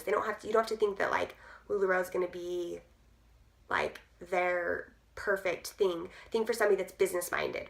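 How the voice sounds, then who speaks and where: A woman in her thirties, hoarse from losing her voice, chatting casually to camera with many fillers. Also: mid-range voice, fairly bright tone. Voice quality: gruff. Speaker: direct sales team leader.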